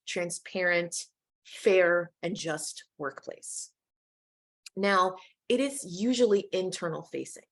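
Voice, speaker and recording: Measured woman presenting a webinar. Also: fairly low pitch. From United States. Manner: measured, inclusive, addresses audience directly.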